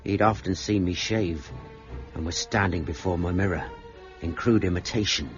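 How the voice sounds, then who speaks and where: An actor playing a deferential, shaken sailor confessing to a horror in an earnest radio drama style. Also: male voice, low voice, moderate pace, lightly acted. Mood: haunted recounting. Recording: muffled, with music behind.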